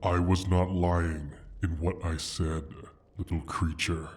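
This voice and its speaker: Casting: ancient-sounding fantasy dragon, extremely low and husky, proud, menacing, condescending, for an intimate roleplay audio. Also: male voice, level tone, heavily acted. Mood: calm menace. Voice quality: husky, breathy rumble with whispered edges. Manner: deliberate, brooding, condescending, sighing. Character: injured, proud male dragon guarding his hoard, menacing. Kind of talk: slow theatrical fantasy ASMR roleplay monologue.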